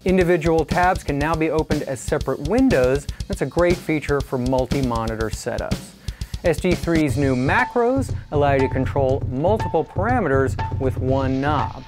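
A man in his forties giving a scripted product review in a measured, clearly articulated presenter style. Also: fairly high pitch, expressive, music in the background.

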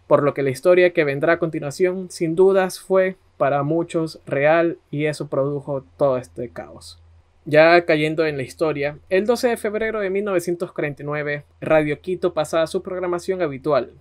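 Ecuadorian guy podcast host, kinda high voice, telling history stuff like he's chatting. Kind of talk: conversational history narration.

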